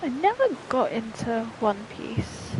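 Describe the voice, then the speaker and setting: A woman's voice: on the high side, very animated, measured pace, noisy background. A woman chatting casually as she live-streams a game, in a rambling, repetitive way. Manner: rambling, repeats herself. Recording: faint game music behind.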